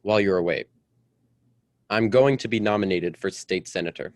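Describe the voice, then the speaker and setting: A man playing a stuffy, domineering politician husband in a radio melodrama, with a brisk, formal, patronizing manner. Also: fairly low pitch, darkish tone, dry recording, lightly acted. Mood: insistent, indignant. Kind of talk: old-time radio drama acting.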